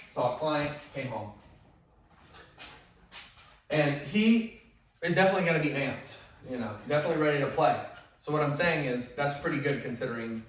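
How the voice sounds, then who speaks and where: Male dog trainer, loud voice, chatty and instructive; distant mic in a boomy room with light hiss. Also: very echoey. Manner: instructive, conversational, drops to soft commands to the dog. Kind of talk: hands-on training demonstration.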